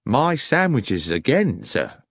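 A male reader puts on a humble railway guard from an audiobook mystery. He is anxious and earnest, protesting respectfully with lively rises and falls, recorded very dry. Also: medium pitch, takes it slowly, dry recording, pitched above the performer's own voice, full character voice. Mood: deferential. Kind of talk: dramatised audiobook dialogue.

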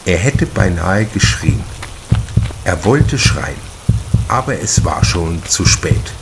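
A male narrator with a very low voice reads crime fiction very slowly. Faint rain sounds sit behind the scripted audiobook delivery. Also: expressive, gravelly, noisy background.

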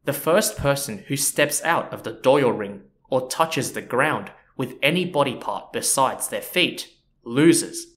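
A man hosting a history podcast, narrating in a relaxed, chatty way with frequent asides to the listener. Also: mid-range voice, a little slow. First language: not Japanese. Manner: relaxed and chatty, with frequent "you know" and "so yeah". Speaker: podcast host.

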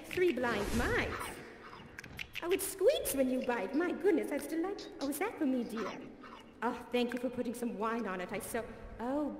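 A woman's voice: high-pitched, darkish tone. A woman voicing a glamorous female cat cabaret diva, gushing with theatrical endearments between songs. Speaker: variety show performer. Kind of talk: cabaret song and stage patter. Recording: echoey hall with music behind.

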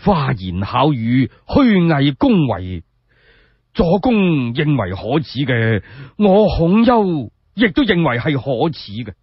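A man voicing a calm, courteous sage in a Cantonese radio tale, measured, sincere and dignified. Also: a little high, slightly rough, dry recording, muffled recording, heavily acted.

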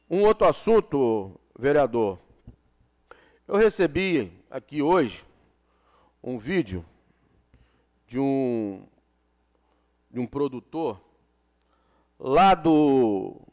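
A man with a notably high voice speaks at a council chamber desk microphone. He is a city councilman in his forties from Brazil. He delivers an impassioned floor speech and presses his points by repeating them. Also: darkish tone. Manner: impassioned, repeats phrases for emphasis. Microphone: desk gooseneck microphone.

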